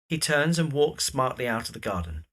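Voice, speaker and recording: A man's voice: medium pitch, very animated, moderate pace, a bit bright. A man reading scripted present-tense narration for an audio drama.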